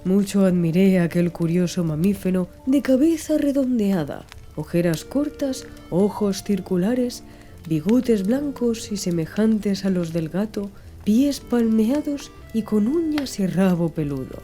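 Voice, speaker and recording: Mellow, dark-toned woman narrating an audiobook. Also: mid-range voice, expressive, measured pace. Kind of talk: scripted literary audiobook narration. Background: background music.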